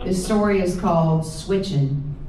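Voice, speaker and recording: A woman's voice: lowish voice, a little slow, dark tone. A woman with a Southern US accent giving a live reading of her folksy, drawling first-person stories. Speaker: author reading own stories. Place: live reading in an indoor event room. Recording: echoey room from far off, faint noise.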